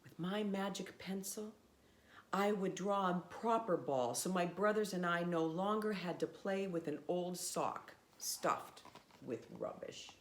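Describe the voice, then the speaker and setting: A woman reading a children's storybook aloud in a measured, expressive way with pauses. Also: fairly low pitch.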